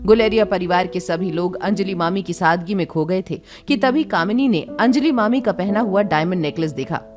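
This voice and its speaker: A woman's voice: fairly low pitch, normal speed. Female story narrator over prominent music. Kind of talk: dramatic scripted story narration.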